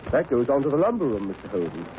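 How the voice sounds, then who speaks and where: An actor playing an anxious, formal museum curator with an earnest, emphatic manner, in 1930s radio drama style. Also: male voice, medium pitch, big pitch swings, fast, natural voice. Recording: dull, faintly hissy 1930s radio recording.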